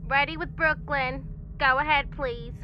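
Radio play operator woman acting: high voice, clipped and officially polite, dulled sound, music behind. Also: steady tone, unhurried, lo-fi sound, noisy background. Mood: brisk, impersonal. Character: brisk, formal woman telephone operator. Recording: band-limited radio recording with music behind.

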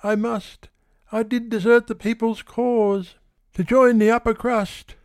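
A man's voice: extremely high pitch, little pitch movement, unhurried, clear, resonant tone, dry recording, studio quality, pitched above the performer's own voice. A man voicing a proud young democratic bush girl in a recited ballad, pleading and melodramatic.

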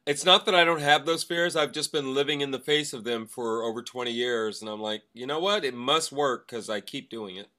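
A woman's voice: extremely low pitch, a little fast, few pauses, pushed and bright. A middle-aged woman co-hosting a casual podcast chat in a teasing, reactive way. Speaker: podcast co-host.

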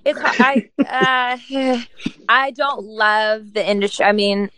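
A woman's voice: fairly high pitch, level tone, slow, fairly bright tone, smooth, no echo. A woman from New York, a guest on a podcast, giving candid opinions with hesitant starts and filler words. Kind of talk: casual opinion chat.

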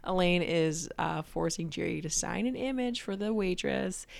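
A woman's voice: fairly low pitch, normal speed, gravelly. A young woman co-hosting a podcast, chatting casually about a sitcom episode, swearing and saying "like" a lot. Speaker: podcast co-host.

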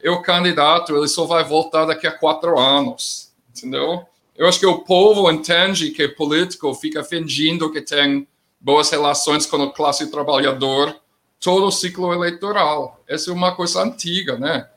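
A middle-aged man speaking Portuguese with a non-native accent, commenting as a guest in a political discussion, plain-spoken with halting grammar. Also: fairly high pitch, steady tone, fairly bright tone. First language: not Portuguese.

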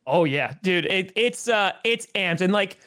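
A young man with a high voice hosts a sports podcast, chatting loosely and excitedly with plenty of "like" and "uh." He sounds casual and amped. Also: unhurried. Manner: excited, loose, lots of fillers. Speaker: podcast host.

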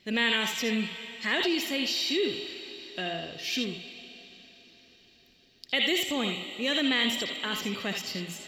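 A woman's voice: fairly high pitch, expressive, slow, pauses often, bright, forceful tone. A woman talking as a poet in a thoughtful literary conversation, recorded in an echoey, reverberant hall.

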